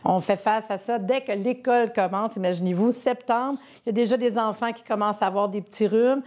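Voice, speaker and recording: A woman's voice: a little high, steady tone, a little fast, darkish tone. A woman with a Québécois accent presenting a sponsored health segment, chatty and persuasive. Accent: Québécois French.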